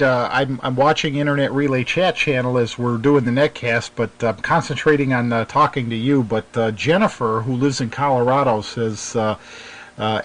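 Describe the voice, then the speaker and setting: A man's voice: mid-range voice, rough recording. American man casually hosting radio talk. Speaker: radio show host.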